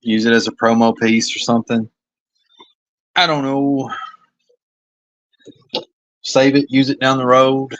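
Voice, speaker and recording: Man, Kentucky accent, comic artist, livestream chat, hesitant and self-critical, mid-pitched voice, dull lo-fi phone sound. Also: level tone, measured pace, pauses often, a bit bright, dry recording. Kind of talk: casual livestream commentary.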